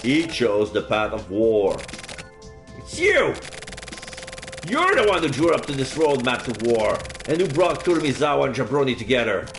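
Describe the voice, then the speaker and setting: A man voicing characters in a dark noir story game, reading lines in a clipped, dramatic way. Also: medium pitch, very animated, moderate pace, over music. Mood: tense.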